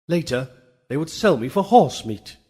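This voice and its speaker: A man voicing a proud, fastidious winged horse in a storybook reading, speaking in lofty, formal, indignant diction. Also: a little high, big pitch swings, dark tone, husky. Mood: haughty, indignant.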